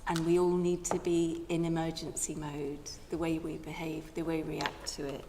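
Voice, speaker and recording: Quiet-voiced woman campaigner in a church. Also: deep voice, level tone, moderate pace. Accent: Northern English. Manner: soft-spoken, hesitant with many ums, earnest. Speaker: Friends of the Earth campaigner on a panel. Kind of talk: live panel talk in a church. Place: large parish church. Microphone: radio mic. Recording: long church reverb, faint noise.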